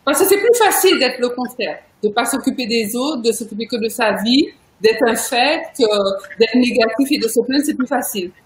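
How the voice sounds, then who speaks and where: A chatty woman hosts a relaxed interview, speaking with emphasis and a ready laugh. Her voice sits high, and the room around her rings with echo. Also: normal speed. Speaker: interview host. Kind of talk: casual video interview chat.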